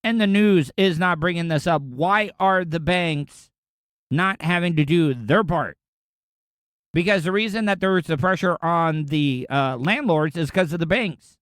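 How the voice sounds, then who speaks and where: A sarcastic man from Florida rants through the news on his podcast, rambling and indignant. His voice sits a little high for a man. The sound is dry and close, with no room around it. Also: unhurried, a bit bright, clean tone, no echo. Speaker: podcast host. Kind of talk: casual ranting commentary.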